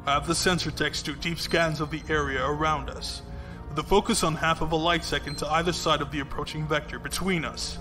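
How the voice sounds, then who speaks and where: A man playing a composed, shrewd space commodore in an audio drama, measured and probing with calm authority. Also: a little high, over music, natural voice. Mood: decisive.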